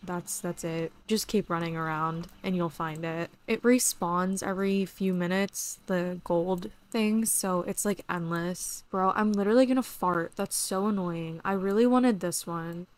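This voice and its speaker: A young woman with a voice going hoarse, chatting casually and excitably while she plays a horse game at home. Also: fairly low pitch, a little slow, few pauses. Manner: chatty, excitable, lots of "like" and "literally". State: losing her voice. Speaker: gaming YouTuber. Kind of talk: casual gameplay commentary.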